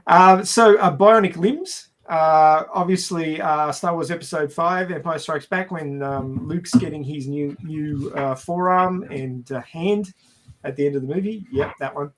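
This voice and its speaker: Podcast panelist, male, slightly high voice, explains in a halting, wry way, casual discussion style. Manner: halting, explanatory, wryly jokey. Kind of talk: casual film and science discussion.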